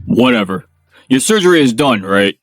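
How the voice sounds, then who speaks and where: A man voicing a bullying middle-aged company president in a manga dub, with a gruff, contemptuous manner and a lower, rougher voice than his own. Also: sing-song, moderate pace, no echo, studio quality, faint music behind. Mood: scornful.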